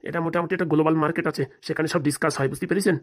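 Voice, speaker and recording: A woman voicing a smooth-talking, scheming male fixer, boastful and persuasive, with a rural Bengali accent in a comedy cartoon. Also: deep voice, steady tone, rapid-fire.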